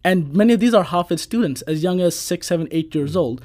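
A man's voice: high voice, lively intonation, a little fast, darkish tone. A man from the United States speaking as an advocate in a radio interview, explaining things fluently and articulately. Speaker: advocacy group director, panel guest. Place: radio studio.

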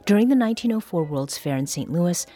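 A woman hosting a public radio interview program, reading a measured scripted introduction. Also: on the low side, lively intonation, warm tone, soft background music. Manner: measured scripted introduction, then brief listening responses.

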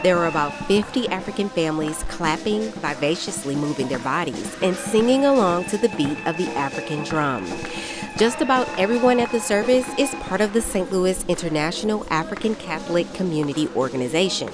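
A woman radio reporter narrating a news feature in a measured, even delivery. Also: fairly low pitch, music in the background.

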